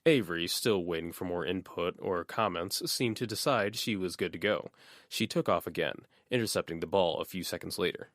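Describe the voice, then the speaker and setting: Deep-voiced male audiobook narrator. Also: moderate pace, a bit bright, no echo, studio quality. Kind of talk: scripted narration.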